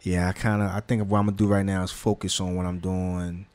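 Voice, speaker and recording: Low-voiced man with a laid-back slangy drawl. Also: fast. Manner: relaxed slangy talk with many restarts and fillers. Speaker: magazine founder. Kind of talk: casual interview conversation.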